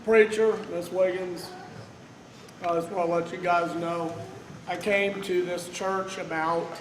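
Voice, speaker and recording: A man in his thirties, originally from Kansas City, giving a loud, rambling tribute to his pastor without a microphone. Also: high voice, fairly even intonation, a little slow, echoey room, noisy background. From Kansas City, Missouri. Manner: loud, unmiked, rambling with many "uh"s. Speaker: church member giving a tribute. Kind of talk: informal heartfelt tribute speech. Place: large church fellowship hall. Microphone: no microphone, picked up from across the room.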